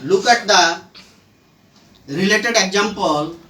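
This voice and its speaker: Grammar instructor, mid-pitched, very unhurried scripted delivery, deliberate phrasing, roomy and distant recording. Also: pushed and bright. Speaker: grammar teacher. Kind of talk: scripted English grammar lesson. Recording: echoey room, distant from mic.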